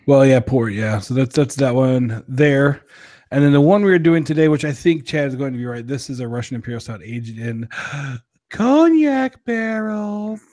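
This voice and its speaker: A man's voice: mid-range voice, a little fast, dark tone, no room sound, studio quality. A young man co-hosting a podcast, chatting casually and jokingly as he reviews drinks, with a self-deprecating streak. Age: young adult. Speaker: podcast co-host. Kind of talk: casual beer review chat.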